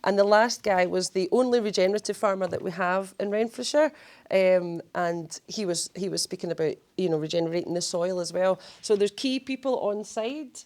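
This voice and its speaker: A woman's voice: medium pitch, normal speed, darkish tone. A woman speaker closing her talk in a chatty, laughing manner in a seminar room. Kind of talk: presentation wrap-up.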